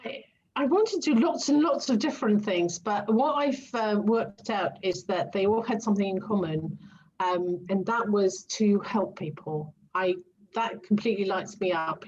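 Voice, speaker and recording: A woman's voice: mid-range voice, normal speed, a little mellow, highs cut off, slight background noise. A Greek-born woman, a native Greek speaker, answering interview questions as a guest coach, with many ums and restarts. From Greece. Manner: hesitant, many ums and restarts, light laughs.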